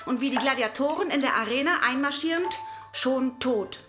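A woman giving a performed poetry reading, with deliberate, theatrical delivery and crude slang. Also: high-pitched. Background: music.

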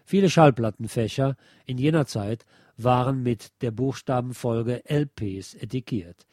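A man reading aloud from a nonfiction book as a radio presenter, in a measured, articulate way. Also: mid-range voice, a little mellow, no room sound, clean studio sound. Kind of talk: scripted narration, read aloud from a nonfiction book.

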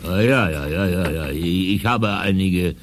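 An actor playing a shady old shopkeeper in a radio play, with a gravelly voice and halting, stammering sales patter. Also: male voice, deep voice, background noise. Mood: eager, shifty. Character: shady old male shopkeeper selling stolen goods, eager, shifty. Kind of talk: 1980s German youth radio play acting.

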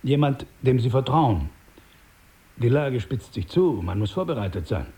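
Condescending older crime boss, mellow-voiced. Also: male voice, medium pitch, big pitch swings. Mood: patronising, measured. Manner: condescending, measured, smooth-talking. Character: paternal, menacing older male crime boss. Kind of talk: radio drama acting.

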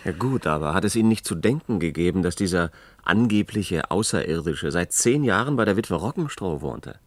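A man's voice: sits low, animated, fairly quick, no echo. An actor playing a courteous, tactful male interviewer in a German radio play. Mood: probing.

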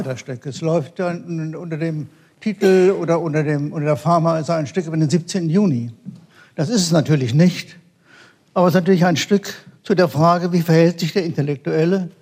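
A man's voice: on the high side, a little mellow. An older man, a guest expert, giving a reflective unscripted answer in a discussion. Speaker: theatre critic and historian guest.

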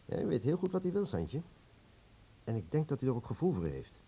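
An actor playing a mild, conciliatory middle-aged uncle and guardian in a Dutch radio drama, with a husky voice. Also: male voice, medium pitch, very animated, brisk, dark tone. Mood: mild, placating.

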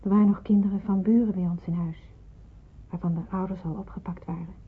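An actress playing a young refugee woman in hiding in a radio drama, soft, hesitant and earnest. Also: medium pitch, fairly even intonation, fast, breathy edge. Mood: sorrowful, subdued. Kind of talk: Dutch radio drama acting. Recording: muffled, phone-like old radio recording.

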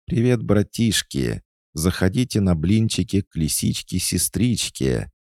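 A man's voice: sits low, sing-song, a little slow, a little mellow, no echo, clean studio sound, pitched above the performer's own voice, exaggerated voice. A man voicing a cheeky, creepy life-size female fox puppet from a storybook, brash and sing-song. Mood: chirpy greeting.

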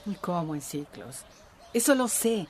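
A woman's voice: fairly low pitch, big pitch swings, a little slow. An actress playing a doubtful, fretful village woman in a radio drama. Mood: sceptical, uneasy.

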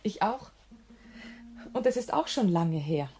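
A woman's voice: mid-range voice, expressive, a little slow, warm tone, faint music behind. An actress playing a proud, disdainful young woman with a cool, forthright manner in a radio play. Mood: wistful recollection. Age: young adult. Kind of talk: German radio play acting.

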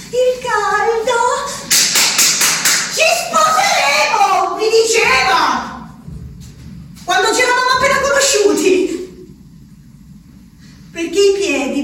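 An actress playing an unhinged, wistful peasant woman shut in an institution, with an Abruzzese accent, in an incantatory theatrical radio monologue addressed to her absent beloved. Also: extremely high pitch. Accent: central-southern Italian, Abruzzese. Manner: slow, incantatory, repetitive delivery. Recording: roomy echo, distant mic, faint noise.

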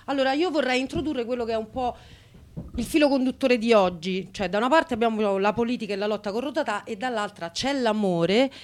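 A woman's voice: on the high side, fairly quick. Playful, chatty woman co-hosting radio. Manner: playful, repeats phrases. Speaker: radio co-host and theatre activist. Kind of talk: casual chatty live introduction.

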